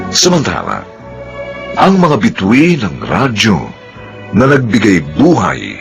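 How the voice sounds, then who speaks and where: Deep, gravelly male radio announcer. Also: a bit bright. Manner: dramatic, measured delivery. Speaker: radio drama host and announcer. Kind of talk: formal radio announcing, reading credits.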